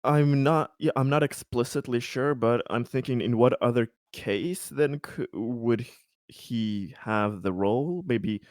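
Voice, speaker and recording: A man's voice: mid-range voice, measured pace, no room sound, studio quality. A man playing a tabletop game, chatting casually in a tentative, wry way.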